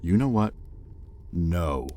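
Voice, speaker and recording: Male actor as sardonic demon master for intimate roleplay audio: extremely deep, unhurried, exasperated, grudgingly caring. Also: animated, mellow. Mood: exasperated, demanding. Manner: sardonic, exasperated, with pointed pauses.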